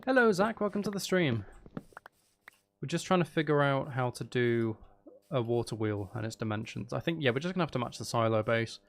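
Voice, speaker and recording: Man musing aloud with a creaky voice. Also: medium pitch, lively intonation, brisk. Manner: musing, self-questioning, talking half to himself. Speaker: live streamer. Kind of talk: casual gaming livestream chat, thinking aloud while building.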